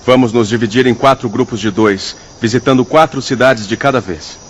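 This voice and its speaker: An actor playing a calm, decisive spirit leader in a radio drama, speaking in a measured, formal way. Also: male voice, mid-range voice, slight background noise, close to an everyday voice. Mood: calm, purposeful.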